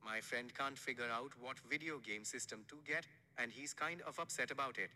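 A synthetic male voice assistant with an Indian accent, delivering a joke in a smooth, even voice, played through a phone speaker into a hall. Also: mid-range voice, a little fast. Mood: deadpan.

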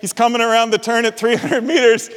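Man, middle-aged, pastor, high-pitched, animated storyteller, long hall reverb. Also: brisk, smooth. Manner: animated storyteller, chuckles often. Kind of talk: sermon with personal storytelling.

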